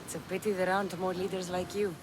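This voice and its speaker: A woman's voice: lowish voice, level tone, quick pace, noisy background, lightly acted. A woman playing a fierce, earnest young Greek mercenary and protective daughter in a dramatic video game. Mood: warm, resolute.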